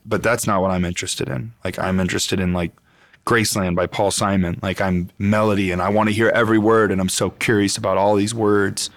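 A man who sings and writes songs for a band, with a gritty baritone voice, talking in an interview in a passionate, thoughtful way. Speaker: band singer and songwriter. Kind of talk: interview answer.

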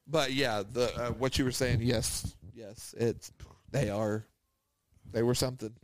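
A man tells a story in a loose, casual chat, laughing often and slipping into the voices of the people he quotes. His pitch sits in the ordinary middle range. The sound is dry and close. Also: fairly even intonation, slow pace, pauses often, no echo. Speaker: podcast co-host.

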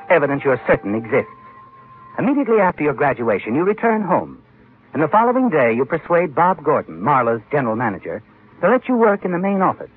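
A man's voice: a little high, slight background noise. Knowing, swooping male radio narrator. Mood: suspenseful. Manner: knowing, insinuating. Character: knowing, insinuating male narrator of a suspense tale. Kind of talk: dramatic second-person 1950s radio narration. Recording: muffled 1950s radio recording.

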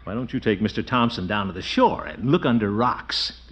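A middle-aged man playing a pompous, blustering bachelor uncle in a 1940s radio sitcom, with booming chuckles. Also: animated, moderate pace, fairly bright tone. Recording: dull old radio recording, faint hiss.

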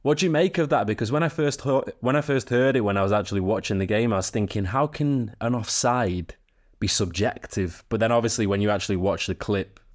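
A man hosting a football podcast, presenting and discussing the sport with a co-host. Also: fairly low pitch.

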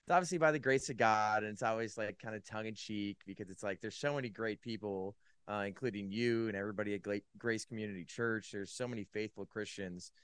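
A man with a lowish, smooth and resonant voice, recorded close and dry with no room sound. He tells his personal story in a loose, casual way, full of "like" and restarts. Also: fast, hardly any pauses, fairly bright tone, no echo. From California. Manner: loose and rambling, many likes and restarts. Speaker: podcast guest.

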